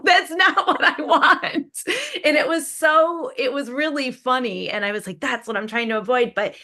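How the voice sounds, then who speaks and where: A woman with a mid-pitched voice talks casually in a creators' discussion. She is animated and anecdotal, telling things conversationally as a fellow podcaster would. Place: online video call.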